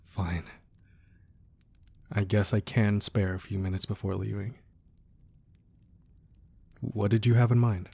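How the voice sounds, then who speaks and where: A man acting a gruff but tender, protective crime boss husband in an intimate, soft-spoken ASMR romance roleplay. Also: on the low side, fairly even intonation, takes it slowly, pauses often, dry recording, full character voice. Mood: reassuring, reluctant. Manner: soft, intimate, affectionate murmur.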